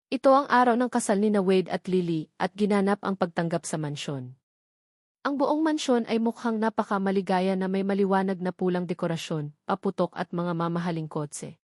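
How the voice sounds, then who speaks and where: A woman's voice: medium pitch, a little mellow, no room sound. Filipino woman narrating a novel, dry sound. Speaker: audiobook narrator.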